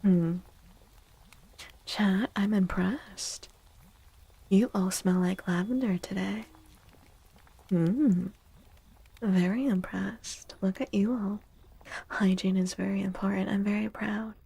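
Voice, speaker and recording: Slow, hushed, breathy woman doing ASMR. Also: medium pitch, fairly even intonation. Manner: soft, hushed, often slipping into whispers; playful, teasing. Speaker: ASMR streamer and tarot reader. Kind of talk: soft-spoken ASMR, casual chat with livestream viewers.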